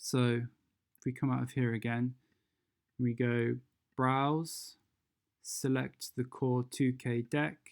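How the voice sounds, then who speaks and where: A presenter narrating a casual step-by-step software tutorial, informal and instructional, thinking aloud along the way. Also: mid-range voice, creaky.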